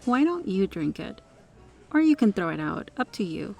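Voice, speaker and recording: A woman plays a kind, caring restaurant customer in an ASMR roleplay. Her voice is mellow and mid-pitched, with a soothing, reassuring manner over faint music. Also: very animated, normal speed, vocal fry. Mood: reassuring, tender. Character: kind female restaurant regular, gentle, caring stranger.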